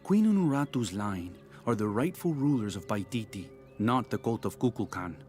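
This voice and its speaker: A man playing a proud cast-out gambler turned rebel in a video game cutscene, speaking in a measured, philosophical, teasing way. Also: lowish voice, lively intonation, a little mellow, lightly acted. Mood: earnest. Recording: music under the voice.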